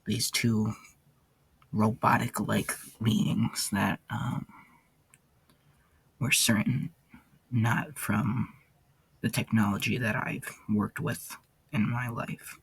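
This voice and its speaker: A man playing a weary, haunted ancient warden in an audio log, speaking in a halting, somber way with a gravelly, husky low voice. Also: level tone, no room sound, heavily acted. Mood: weary, grim. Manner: halting, somber, hesitant delivery. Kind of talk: character audio log, slow monologue.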